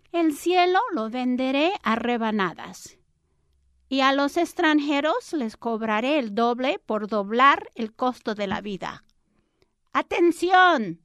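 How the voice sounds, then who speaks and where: Woman in her sixties, poet reading aloud, unhurried, dry close sound. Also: a little high, clear, resonant tone, dry recording. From Chicago, USA. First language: English. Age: 60s. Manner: slow, deliberate poetry reading. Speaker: poet guest.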